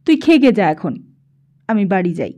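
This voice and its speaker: A woman's voice: mid-range voice, sing-song, normal speed, mellow, dull audio. A woman voicing a sensible boy in an audiobook, speaking in urgent, scolding short commands. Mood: firm. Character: alarmed, sensible boy restraining his wild friend. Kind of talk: audiobook character dialogue.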